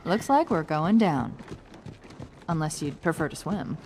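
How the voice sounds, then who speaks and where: A woman's voice: sits low, expressive, measured pace, noisy background. An actress playing a witty female AI companion who gives briefings with dry, wry quips. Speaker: AI advisor. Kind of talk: game cutscene acting. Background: loud orchestral music.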